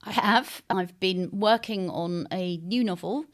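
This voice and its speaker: A woman being interviewed as a writer, speaking thoughtfully and haltingly in unscripted conversation as she searches for words. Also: mid-range voice. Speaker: interviewed novelist.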